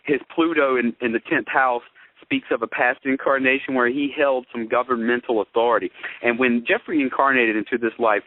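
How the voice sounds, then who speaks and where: A man's voice: mid-range voice, no echo. A man co-hosting a talk show, giving conversational astrological analysis in a wordy, expository way with rhetorical questions. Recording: narrow phone-like radio sound.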